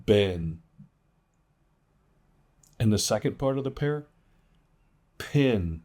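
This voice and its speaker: A man with a fairly deep voice teaches pronunciation. He moves at a very slow, measured pace. Example words come out with deliberate, emphatic articulation, set among plain instructional explanations. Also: expressive, a little mellow. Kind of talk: instructional lesson with demonstrated words.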